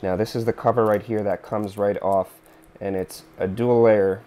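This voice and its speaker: A man's voice: fairly low pitch, steady tone, normal speed, dark tone. A man in his thirties talking casually through a hands-on repair tutorial at home, thinking aloud with fillers and little asides. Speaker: DIY video host. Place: indoor living room, seated at a workbench board.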